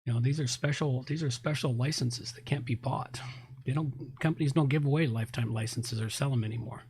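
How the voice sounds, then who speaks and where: A man's voice: medium pitch, a little fast, a little mellow. He is a man with a mid-range voice, talking casually as a podcast co-host. His delivery is blunt and rambling, with a grumbling edge. It sounds like unscripted pre-show chat.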